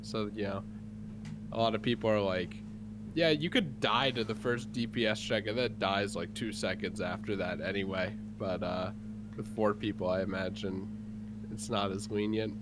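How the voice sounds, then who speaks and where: A man's voice: lowish voice, normal speed. A man with a stuffy, congested nose, rambling through gaming plans in casual voice chat. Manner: rambling, explains game plans with fillers. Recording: noisy voice chat audio.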